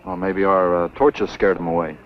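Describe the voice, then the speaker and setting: Man playing firm principled warden, low-pitched, dull vintage film sound, matter-of-fact, B-movie drama. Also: fairly even intonation, moderate pace, slight background noise, lightly acted. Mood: businesslike. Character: stubborn, principled male game warden, level-headed and firm. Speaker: film actor. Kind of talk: 1950s B-movie acting.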